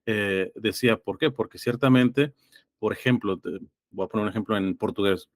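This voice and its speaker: A man's voice: on the low side, moderate pace, no room sound, clean studio sound. A man with a slightly congested throat, speaking hesitantly and reflectively as an interview guest in a theological conversation. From Los Angeles, California. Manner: hesitant, reflective, explains through examples and citations.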